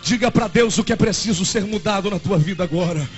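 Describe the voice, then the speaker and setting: A man's voice: high-pitched, fast, muffled recording, faint music behind. A young man in his twenties with a Brazilian Portuguese accent, preaching a fervent and emotional sermon as a pastor. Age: 20s.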